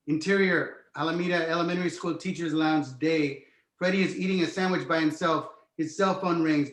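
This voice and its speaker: A man's voice: fairly high pitch, a bit bright. A man narrating a screenplay, reading out scene headings and stage directions from the script. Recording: roomy, echoing sound.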